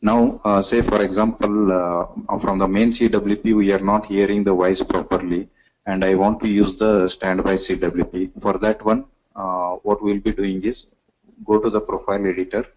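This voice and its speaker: Man, low monotone, halting technical demo presenter, dull lo-fi webinar audio. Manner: halting, step-by-step explaining. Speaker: product demonstrator. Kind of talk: live software demonstration in a webinar.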